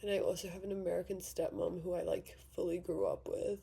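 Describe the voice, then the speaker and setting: Chatty young Australian woman, husky. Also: fairly low pitch, level tone, fairly quick, warm tone. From Victoria, Australia. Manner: chatty, rambling, self-deprecating. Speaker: vlogger. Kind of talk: casual get-ready-with-me chat. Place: bedroom vanity at home.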